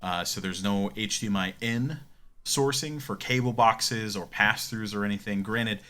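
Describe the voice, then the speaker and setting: A man's voice: on the low side, normal speed, bright, forceful tone. A man co-hosting a podcast, chatting casually about tech in an opinionated, explanatory way. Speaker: podcast co-host. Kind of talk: casual gaming tech discussion.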